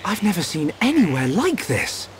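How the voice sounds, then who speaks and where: A man's voice: high voice, huge pitch swings, moderate pace, a little hiss or hum. An actor playing an earnest, determined young hero in a video game cutscene, sincere and eager in his delivery. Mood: curious, keen.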